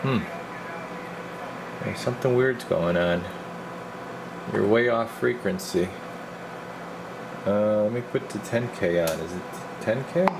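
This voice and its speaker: A man with a fairly low voice and plenty of fry in it talks casually through a repair job at the bench. He thinks aloud in an informal, muttering way, like a technician showing his work. A steady ventilation hum sits clearly behind him. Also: takes it slowly, long pauses. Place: indoor electronics repair workbench.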